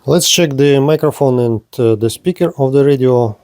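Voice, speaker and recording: A man giving a hands-on demonstration of gear as a product reviewer, speaking in short, halting phrases. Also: mid-range voice, a little mellow, no echo, clean studio sound.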